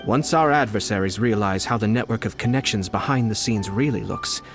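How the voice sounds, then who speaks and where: An actor playing a composed, scheming young noble strategist in a game, speaking in a measured, persuasive way. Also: male voice, fairly low pitch, over music, lightly acted. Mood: calm scheming. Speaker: game character. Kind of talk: game voice acting.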